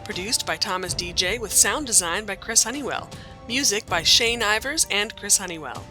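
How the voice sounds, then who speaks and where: A woman reads scripted credits as an announcer. Her voice is crisp-edged and bright at a middling pitch, with music playing behind.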